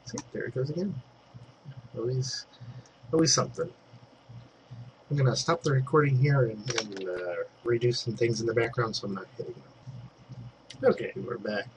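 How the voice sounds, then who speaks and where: A man's voice: medium pitch, moderate pace, pauses often, a little hiss or hum, rough recording. Congested man chatting through a painting tutorial. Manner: chatty, thinks aloud while working, trailing fillers. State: congested, clearing throat. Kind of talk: casual screen-recorded painting tutorial.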